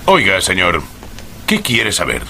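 An actor playing a blunt, stubborn, irritable poultry stallholder in a period mystery drama, gruff and combative in manner. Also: male voice, deep voice, wildly swooping pitch, unhurried, pushed and bright, slight background noise, exaggerated voice. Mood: irritated.

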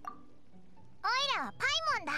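A woman voicing a small, excitable, greedy fairy companion with blunt childlike speech in an anime game. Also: fast talker, over music, close to an everyday voice. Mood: indignant, puzzled.

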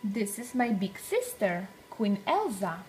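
Lively woman reading a children's storybook. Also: mid-range voice, slow. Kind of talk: read-aloud children's storytelling.

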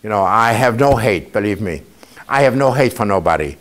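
A man's voice: fairly low pitch, expressive, brisk. Older man talking to students. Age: 70s. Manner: conversational, often checks in with listeners by asking "okay?". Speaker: Holocaust survivor speaking to students. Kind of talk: informal testimony talk to a student audience. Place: indoor museum room.

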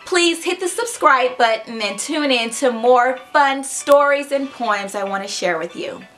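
A woman in her thirties hosting a children's read-aloud, with an expressive, clearly paced, teacherly storybook delivery. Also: sits high, a little slow, faint music behind. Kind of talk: storybook narration to young children. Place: furnished room indoors.

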